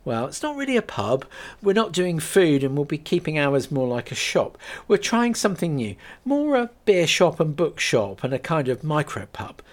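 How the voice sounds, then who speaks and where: A man's voice: a little high, big pitch swings, normal speed, voice pushed up. A man doing a frantic young woman. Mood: eager. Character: frightened young woman, later eager shop owner.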